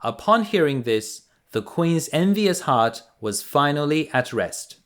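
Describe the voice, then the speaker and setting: Slow, expressive male bedtime storyteller. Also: on the high side. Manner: deliberate, expressive read-aloud. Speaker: storyteller reading to children. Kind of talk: bedtime fairy tale narration.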